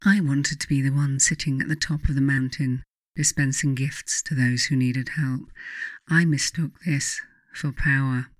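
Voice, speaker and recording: Female narrator for reflective audiobook and guided meditation; measured, deliberate, pausing often, very dry sound. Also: rumbling low, steady tone, no room sound. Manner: measured, deliberate phrasing with frequent pauses. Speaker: author reading own book, guided meditation narrator.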